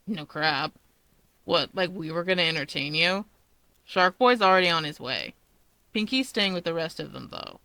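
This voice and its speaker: A woman voicing a sardonic, lazy young man in a story, with a flat, dry drawl. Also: lowish voice, normal speed, dry recording.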